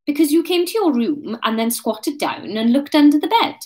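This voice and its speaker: Woman playing storybook woman, highish pitch, animated intonation, awkward, brazen, falsely casual. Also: moderate pace. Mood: challenging. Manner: hesitant but brazen, feigned casualness. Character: awkward young woman bluffing her way through, storybook.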